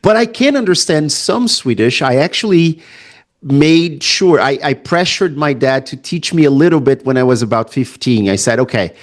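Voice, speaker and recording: A man hosting an interview podcast, enthusiastic and quick to laugh, whose first language is Portuguese. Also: mid-range voice, animated. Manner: enthusiastic, laughs often, frequent fillers. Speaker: podcast host. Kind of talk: conversational interview.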